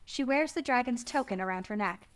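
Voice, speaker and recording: Woman, higher-pitched voice, scripted narration, screenplay stage directions. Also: animated, brisk, fairly bright tone.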